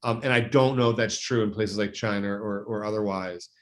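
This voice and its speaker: A man speaking as a state legislator guest, answering in a measured, deliberate way and often correcting himself. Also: fairly low pitch, steady tone. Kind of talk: interview answers.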